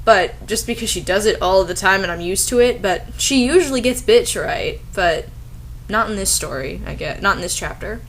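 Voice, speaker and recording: A woman's voice: mid-range voice, animated, normal speed, slight background noise. A woman giving sarcastic, exasperated comic commentary as she reviews and reads out bad fanfiction. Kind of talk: comic commentary over a dramatic reading.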